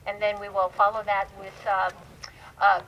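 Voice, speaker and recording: A woman's voice: medium pitch, completely flat delivery, muffled recording. A woman giving an informal explanatory history presentation to a live panel event, often hesitating and correcting herself. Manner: explanatory, halting with self-corrections. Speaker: panel speaker, historian and researcher. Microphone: event microphone. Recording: live event mic, faint background noise.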